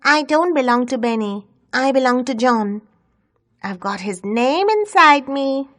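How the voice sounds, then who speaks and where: A woman's voice: sits high, expressive, a little slow, a bit bright. A woman voicing small, fed-up talking books in a children's story, aggrieved and plaintive.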